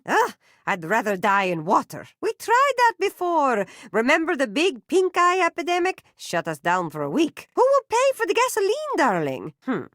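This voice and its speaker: A proud, melodramatic circus mama who scolds her family with grand, theatrical flourishes. The voice sits rather high and leaps wildly between highs and lows. The recording is a close, dry studio take for a video game. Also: fairly bright tone, dry recording, heavily acted. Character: melodramatic middle-aged female circus matriarch, proud and guilt-tripping.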